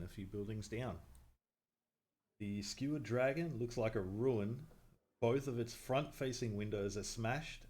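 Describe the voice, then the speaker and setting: A man's voice: fairly low pitch, darkish tone. A man narrating a tabletop game as game master, describing scenes with frequent "uh" fillers on a desk mic. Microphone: desk mic on a voice call.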